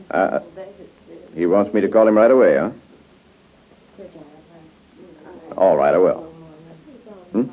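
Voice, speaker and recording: A determined, earnest doctor in a vintage radio soap. His American voice is lowish and husky, with little hesitations as he explains. It comes through a tinny, narrow 1930s broadcast. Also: exaggerated voice. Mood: businesslike. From United States.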